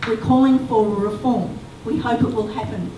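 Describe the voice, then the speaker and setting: A woman's voice: on the high side, normal speed, lo-fi sound, noisy background. Sex: woman. Role: forum panelist. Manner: explanatory, restarts often. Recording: echoey hall, heard from a distant mic. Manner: explanatory, restarts mid-sentence. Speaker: panelist for a residents' group. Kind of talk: public forum answer.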